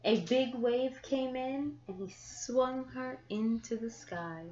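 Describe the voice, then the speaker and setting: A woman reading a children's picture book aloud, with deliberate, expressive phrasing and drawn-out words for young listeners. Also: on the high side. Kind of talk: children's story read-aloud. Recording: echoey room, slightly muffled sound.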